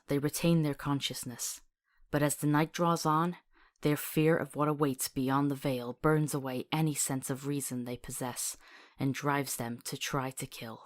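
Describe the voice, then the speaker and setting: Wry practical fantasy traveller woman, low pitched voice, visual novel style. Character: sardonic, practical female travelling companion.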